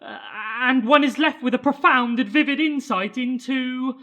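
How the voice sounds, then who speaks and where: A woman playing a nervous Earthling prisoner in an amateur audio drama, hesitant, halting and earnest. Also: sits high, bright, forceful tone. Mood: nervous flattery. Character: nervous, bumbling Earthling prisoner improvising flattery.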